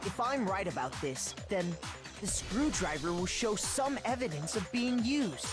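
An earnest teenage boy in an anime mystery game. He sounds youthful and thoughtful, at a medium pitch, with music playing behind him. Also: very animated, measured pace. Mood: earnest, probing. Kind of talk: anime video game acting.

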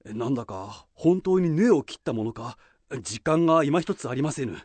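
Humble samurai retainer for radio drama male actor, formal and deferential, medium pitch, very expressive. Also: normal speed, dry recording, lightly acted. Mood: humble wonder. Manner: formal, respectful archaic speech.

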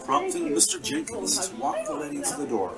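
A man's voice: high voice, huge pitch swings, brisk, far from the mic. Male detective-story narrator over music. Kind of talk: scripted present-tense detective story narration.

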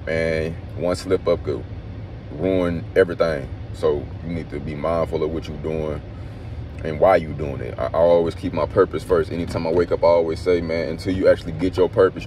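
Deep-voiced young man vlogging in a car. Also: darkish tone. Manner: laid-back, rambling, slangy. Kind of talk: casual motivational vlog. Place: inside a parked car at night. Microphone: phone held at arm's length. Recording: noisy phone recording in a car.

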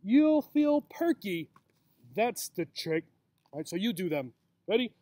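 A man's voice: high voice, sing-song, a little slow, warm tone, no room sound. A man in his forties reading a picture book aloud as a teacher, with simple, encouraging talk for young children. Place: outdoors in a grassy yard. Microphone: camera or laptop mic at about arm's length.

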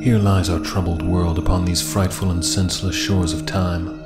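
A man narrating scripted occult horror fiction in a grave, measured reading with formal diction. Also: low-pitched, level tone. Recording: echoey voice under loud music.

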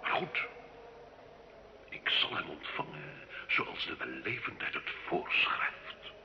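A man's voice: very deep voice, sing-song, slow, noisy background, heavily acted. An actor playing a proud, defiant medieval nobleman in a radio play, grandiloquent and mocking. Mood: scornful, haughty. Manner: grandiloquent, sarcastic, theatrical. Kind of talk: old Dutch-language radio play acting. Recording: dull, echoey old radio recording.

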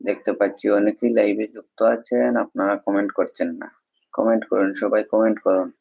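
Man, live stream host, monotone delivery, husky voice, repetitive friendly address, dull lo-fi audio. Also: on the low side, a little fast. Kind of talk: casual live stream chat urging viewers to engage.

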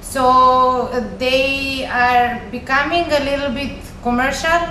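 A woman's voice: high-pitched, steady tone, takes it slowly, bright, forceful tone. Young Serbian woman, echoey room. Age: young adult. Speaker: chemistry researcher, recent graduate. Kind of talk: informal explanatory science presentation. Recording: echoey room with faint noise.

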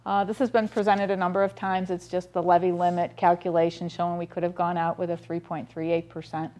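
Woman, middle-aged, lowish voice, budget presentation, reading figures, ums and restarts, meeting room, distant camera mic. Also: little pitch movement, brisk, a little mellow. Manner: methodical, reads figures with frequent ums and restarts. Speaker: school district budget presenter. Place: board meeting room, standing by a projector screen. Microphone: far camera, room audio.

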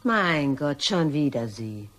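A woman's voice: low-pitched, big pitch swings, takes it slowly, a bit bright, full character voice. An actress playing a proud, indignant woman being blackmailed in a crime radio play, speaking expressively. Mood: anguished, indignant.

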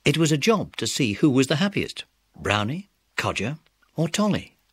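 A man's voice: mid-range voice, sing-song, moderate pace, breathy edge, no room sound. A man with a British accent narrating a scripted children's story.

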